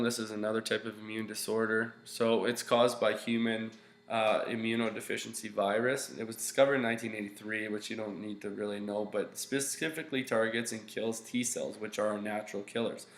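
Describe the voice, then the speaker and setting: A young male teacher talks through a recorded slide lesson in a stuffy, nasal voice that sits a little low and turns gravelly. He explains casually over a webcam, stopping often for fillers. The small room around him sounds echoey, with a faint hum underneath. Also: fairly bright tone. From Canada. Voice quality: congested, nasal. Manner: loose, explaining with many fillers and okays. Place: small room at home, indoors.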